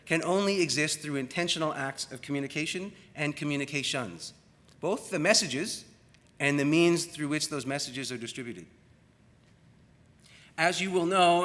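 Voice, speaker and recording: Bright-voiced Canadian man lecturing in an echoey hall. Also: fairly high pitch. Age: middle-aged. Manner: reflective, rhetorical questions and deliberate repetition. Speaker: university lecturer in media studies. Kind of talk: public academic lecture. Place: large room at a university colloquium. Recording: echoey hall with long reverb.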